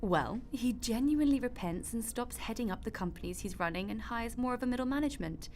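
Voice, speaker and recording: A woman's voice: on the high side, animated, fairly quick, a little mellow, lightly acted. A woman in a radio drama playing God as a casual, matter-of-fact, wry mother figure. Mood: breezy, wry.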